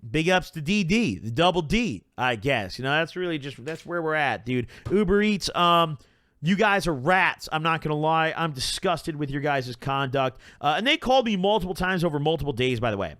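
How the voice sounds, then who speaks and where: A man's voice: a little high, normal speed, no echo. A young man hosting his channel, telling a story in a casual, profane, rambling way, packed with "like" and "bro". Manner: animated, puts on voices when quoting people. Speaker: channel host.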